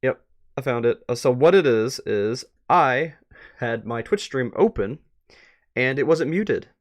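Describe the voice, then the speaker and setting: A man live-streaming a reaction to a web series, chatty and excitable, thinking aloud as he watches. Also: mid-range voice, a little slow.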